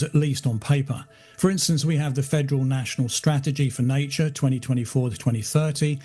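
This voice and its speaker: A man hosting a podcast, reading a formal, measured scripted introduction. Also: mid-range voice, a little mellow. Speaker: podcast host.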